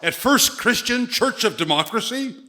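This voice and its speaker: Man playing a high-voiced, theatrical, eager church pastor. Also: expressive, normal speed, pushed and bright, slight background noise, full character voice. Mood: eager, puzzled. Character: eager, folksy male church pastor in a comedy sketch. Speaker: sketch performer and announcer. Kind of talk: theatrical live comedy sketch. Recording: live hall with long reverb, music.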